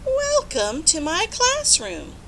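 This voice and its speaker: Woman voicing an eager, high-pitched little bird teacher. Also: huge pitch swings, slow, fairly bright tone, slight background noise, full character voice. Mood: delighted. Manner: exclaiming, chirpy, declamatory. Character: eager little yellow bird teacher, female, cheerfully insistent. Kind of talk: children's storybook read-aloud.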